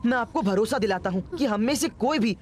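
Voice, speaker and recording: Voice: mid-range voice, animated, a little fast, natural voice. Actor doing a brave lil boy hero in a cartoon, polite n earnest, music in the back. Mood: earnest pleading. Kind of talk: Hindi cartoon voice acting.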